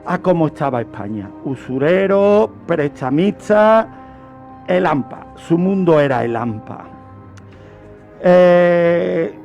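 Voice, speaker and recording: A man's voice: high voice, gravelly, a little mellow. A man with an accent from Spain explains a crime story on the radio in a didactic way, posing rhetorical questions. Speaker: true-crime contributor on a radio programme. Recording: music playing under the voice. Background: music.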